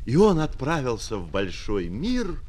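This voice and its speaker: A man narrating a children's fairy tale as an expressive storyteller. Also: mid-range voice, a little slow, husky. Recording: music on a 1970s children's flexi-disc.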